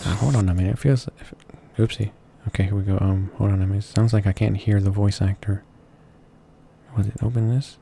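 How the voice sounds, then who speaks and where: A man hosting a game read-along, narrating the text aloud in a halting way and often correcting his own stumbles. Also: on the low side, steady tone, vocal fry, dark tone. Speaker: video game walkthrough host reading aloud. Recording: noisy home recording with constant background hiss.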